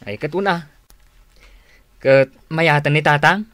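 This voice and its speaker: A woman's voice: deep voice, takes it slowly, a bit bright, no room sound. A woman playing an inquisitive, agreeable family member in a radio drama, with an Ilocano accent. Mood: curious.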